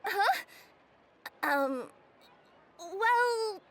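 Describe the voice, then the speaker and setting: A woman's voice: extremely high pitch, animated, very slow, a bit bright, heavily acted. A woman voicing an earnest, good-natured young yokai courier in a video game, with a flustered, hesitant manner. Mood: reluctant. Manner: flustered, hesitant, with stammered hedging.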